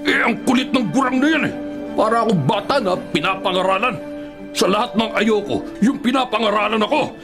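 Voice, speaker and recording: A hot-tempered prison bully in a radio drama. His voice sits on the high side and he talks with threat and impatience. Light music plays behind him. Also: fairly quick. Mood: furious.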